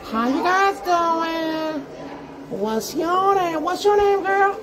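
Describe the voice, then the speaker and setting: A woman's voice: extremely high pitch, slow pace, background noise. A woman voicing a silly, cheeky, foul-mouthed hand puppet in a playful, exclaiming, sing-song way during an improvised puppet show. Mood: chatty. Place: indoor corner with bookshelves, behind puppets.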